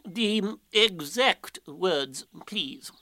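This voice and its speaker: A pompous storybook owl, speaking very slowly and ponderously. He hums and hesitates as he bluffs at being wise, with his pitch raised above a normal speaking voice. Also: expressive, bright, forceful tone, no echo, exaggerated voice. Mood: grave, insistent. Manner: hesitant, drawn-out, ponderous delivery with ums and hums. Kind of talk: children's storybook character reading.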